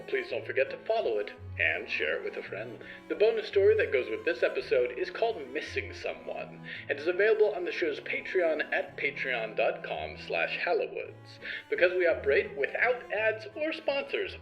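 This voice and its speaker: Expressive man reading scripted narration. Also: medium pitch, lo-fi sound. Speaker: writer, producer and narrator of audio fiction show.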